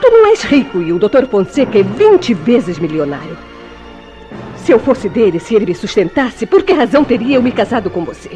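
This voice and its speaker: A woman's voice: a little high, sing-song, brisk, dark tone. A woman with a Brazilian accent playing a defensive wife in a radio soap opera, protesting and pleading theatrically. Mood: earnest, pleading. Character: defensive wife pleading against jealous accusations. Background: faint music behind the voice.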